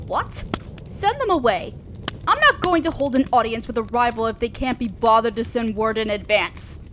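A woman playing a haughty, teasing female demon lord in a roleplay audio drama, with a drawn-out, condescending, theatrical delivery. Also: on the high side, animated, a bit bright. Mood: irritated. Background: crackling fire.

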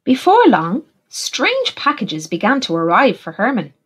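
A woman teacher reading a picture book aloud in an expressive, deliberate way for young children. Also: on the high side, husky. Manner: animated, deliberate, puts questions to young listeners. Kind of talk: expressive storybook narration for children.

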